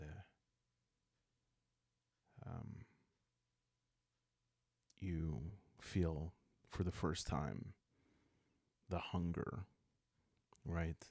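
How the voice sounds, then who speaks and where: A man narrating a solo roleplaying story in a calm, hushed ASMR style, halting with deliberate pauses, close to the microphone. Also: low voice, no echo. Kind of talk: calm ASMR narration of a dark journaling game.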